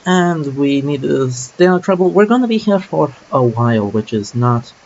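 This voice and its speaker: A man's voice: mid-range voice, animated, moderate pace, a little mellow. Man, game streamer, casual thinking-aloud commentary, slightly dull sound, faint hiss. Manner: casual, musing aloud while playing. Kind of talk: let's play commentary.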